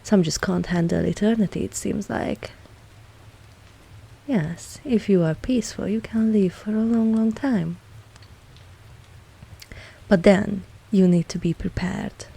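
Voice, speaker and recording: Woman playing a husky, slow-spoken vampire mistress. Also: exaggerated voice. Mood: measured, reassuring. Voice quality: husky, low, breathy at times. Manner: slow, measured, softly spoken. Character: ancient vampire mistress, composed, dominant, wry, fond of her pet. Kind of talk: intimate ASMR audio roleplay.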